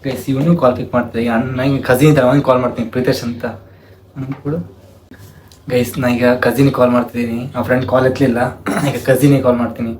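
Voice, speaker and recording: A man's voice: medium pitch, level tone, fast, a little mellow. A young man chats casually as a prank vlog host, informal and often repeating his phrases. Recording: clear background noise.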